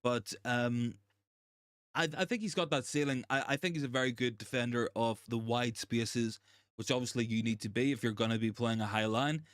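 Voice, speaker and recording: A young man, hoarse and gravelly from a recent virus, hosting a casual live chat and reading out viewer comments, with many ums and repeated phrases. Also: mid-range voice, steady tone, normal speed, dry recording, studio quality. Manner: repeats himself, frequent ums and restarts. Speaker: livestream host.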